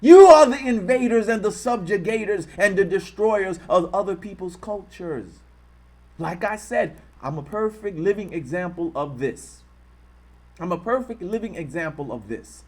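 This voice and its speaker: An American man hosting a live-streamed commentary, passionately hammering repeated rhetorical questions and pausing to thank viewers. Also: high voice, animated, a little mellow. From United States. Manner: impassioned, repetitive rhetorical questions. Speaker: online channel host.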